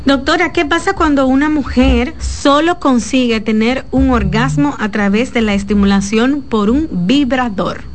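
A woman's voice: high voice, a little slow, few pauses. Chatty woman host reading aloud. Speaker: talk show host. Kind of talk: reading a listener letter on air.

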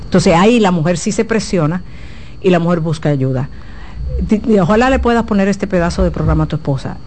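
A woman's voice: fairly low pitch, expressive, fairly quick, a little mellow, slight background noise. A woman giving frank, explanatory advice as a guest sex therapist on a talk show.

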